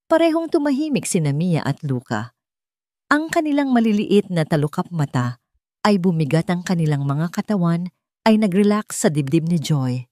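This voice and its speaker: A woman's voice: on the low side, darkish tone, no room sound, studio quality. A woman with a Filipino accent reading a scripted, dramatic story as an audiobook narrator.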